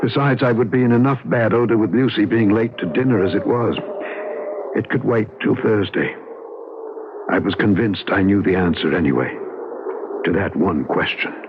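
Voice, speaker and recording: A man's voice: lowish voice, natural voice. An actor playing a haunted, obsessive man in a radio drama, earnest and dramatic. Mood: brooding narration. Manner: earnest, dramatic, halting when pressed. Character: haunted, agitated, obsessive man drawn to mysteries. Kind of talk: radio drama acting with first-person narration. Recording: narrow-band, noisy old radio recording.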